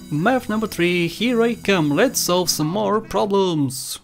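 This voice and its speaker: A man's voice: high voice, animated, slow, creaky. A young man speaking English with a Bulgarian accent, non-native and loose, joking his way through a game walkthrough. His talk rambles and he chuckles at his own gags. Faint game music plays underneath. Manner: jokey, rambling, laughs at his own jokes. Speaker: gameplay commentator. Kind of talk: playful walkthrough commentary.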